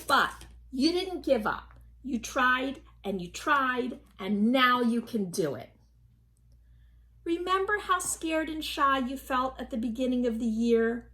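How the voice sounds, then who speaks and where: A woman acts out a kind preschool teacher in a puppet show, speaking in a high, unhurried voice. She is earnest and gentle, instructing her young class with care. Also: animated, heavily acted. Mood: tender, encouraging.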